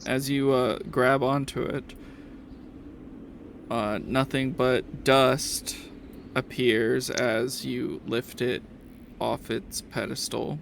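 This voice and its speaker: A man improvising narration as game master of a tabletop game, halting with pauses mid-sentence. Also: medium pitch, little pitch movement, vocal fry, a little hiss or hum.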